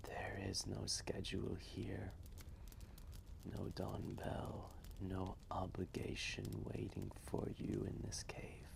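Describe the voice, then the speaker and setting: Male actor playing an old protective dragon for a sleep roleplay: very slow, low, soft whisper. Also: completely flat delivery, creaky, heavily acted. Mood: soothing. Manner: slow, soothing, comforting. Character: ancient gentle male dragon, protective, patient. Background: crackling fire ambience.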